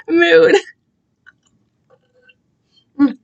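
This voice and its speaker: High-voiced, rambling young woman chatting casually. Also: steady tone, very slow. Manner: rambling, self-deprecating, giggly internet slang. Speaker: amateur writer reading own fan fiction. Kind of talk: casual livestream chat.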